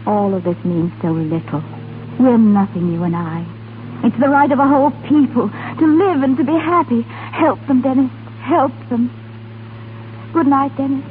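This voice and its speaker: A woman's voice: high-pitched, moderate pace, close to an everyday voice. A passionate English noblewoman, played by an actress in a vintage radio romance. Her delivery is earnest, pleading and theatrical. The recording is thin and tinny with faint noise. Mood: tender, pleading. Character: titled English lady, passionate, pleading, in love. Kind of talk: 1930s radio acting.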